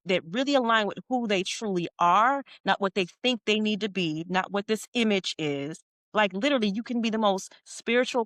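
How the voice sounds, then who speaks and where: A middle-aged woman talks as a podcast guest and leadership coach. Her pitch sits at an ordinary middle height, and she leans on her words with earnest emphasis, coming back to points she has already made. The recording is dry and close, with no room sound. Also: fairly bright tone, dry recording. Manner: earnest, emphatic, with repeated phrases. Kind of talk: podcast interview.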